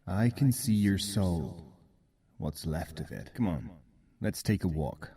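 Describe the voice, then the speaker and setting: Man playing a slow, low, husky mocking ghost. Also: lively intonation, mellow, heavily acted. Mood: sardonic, eerie. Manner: slow, cryptic, taunting. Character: mocking ghost of a dead man, Roland Sanchez. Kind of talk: noir radio drama acting.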